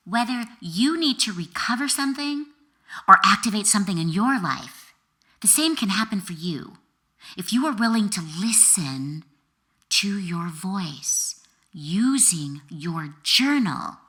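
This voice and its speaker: A woman author reading her own memoir as an expressive audiobook narrator, with a dramatic, deliberate delivery and emphatic pauses. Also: mid-range voice.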